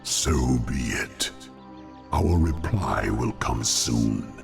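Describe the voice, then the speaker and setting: A scheming demon lord from a fantasy game, his voice extremely low and full of gravel. He speaks with pompous theatricality and wide swings of pitch, over music. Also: lively intonation, very slow, exaggerated voice. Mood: haughty menace. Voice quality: very deep, gravelly. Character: sly, menacing male demon dreadlord, scheming and self-serving. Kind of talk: fantasy video game cutscene acting. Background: dramatic music.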